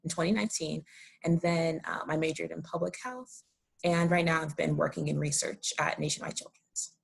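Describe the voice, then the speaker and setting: Female, twenties, American accent. Fry-heavy lower voice, casual introduction. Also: normal speed. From Ohio, United States. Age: 20s. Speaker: prospective medical student.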